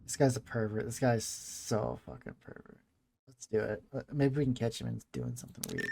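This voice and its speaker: A man's voice: medium pitch, wildly swooping pitch, fairly quick, vocal fry. A man giving casual playthrough commentary as a gaming host, in wry, muttered asides. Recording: faint noise, game audio behind.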